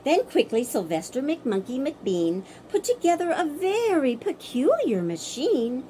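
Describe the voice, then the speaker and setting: Woman, theatrical bedtime story narration, rhythmic verse, very lively pitch, faint background noise. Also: measured pace. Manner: dramatic, expressive, rhythmic verse delivery. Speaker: storyteller, community theater performer.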